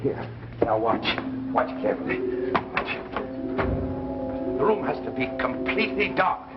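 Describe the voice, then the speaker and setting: An actor playing an obsessive, excitable professor who pleads in halting, repeated phrases in a 1950s drama. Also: male voice, medium pitch, loud music behind the voice. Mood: urgent pleading. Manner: agitated, halting, repeats words. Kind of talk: 1950s anthology drama acting. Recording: noisy, muffled 1950s broadcast recording.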